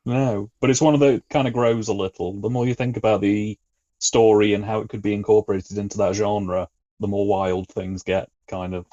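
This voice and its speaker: A man in a casual podcast discussion, speaking in a halting, reflective way. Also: on the low side, fairly even intonation, dark tone, no room sound. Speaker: podcast guest. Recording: slightly dull, lo-fi audio.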